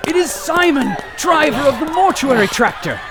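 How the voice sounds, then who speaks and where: An actor playing a theatrical radio narrator who delivers ornate, melodramatic horror commentary. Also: male voice, extremely high pitch, sing-song, moderate pace, raised voice, over a noisy crowd, very noisy. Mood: alarmed. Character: theatrical male radio narrator, dramatic and urgently horrified. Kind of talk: old-time radio style horror commentary. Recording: music bed under the voice.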